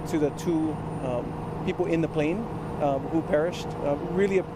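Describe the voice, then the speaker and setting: A man, a government official, speaking haltingly at a press briefing. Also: a little high. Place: outdoors near the incident scene. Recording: clear outdoor traffic noise.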